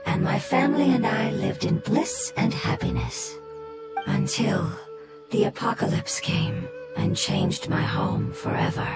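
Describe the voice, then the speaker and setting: An ancient nonhuman creature speaking in a formal, exact and oddly literal way, as a woman voices it. The voice sits a bit high and moves with lively rises and falls, with loud game music underneath. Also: heavily acted.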